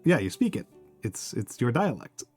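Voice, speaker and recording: A man's voice: mid-range voice, big pitch swings, a little mellow, husky, no room sound. A man from the United States chats on his livestream and keeps circling back through restarts and fillers. His voice sits in a middling register. It sounds dry and close, with faint music underneath. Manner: halting, many fillers and restarts. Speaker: streamer. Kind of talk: casual chat.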